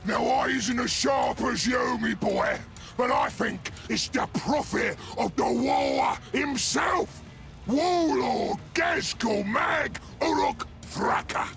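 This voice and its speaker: A man voicing a sly, jovial ork salesman in a video game, with a raspy Cockney English voice and a wheedling manner. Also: extremely high pitch, wildly swooping pitch, slow pace, fairly bright tone, shouting, soft background music, heavily acted. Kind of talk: video game character acting.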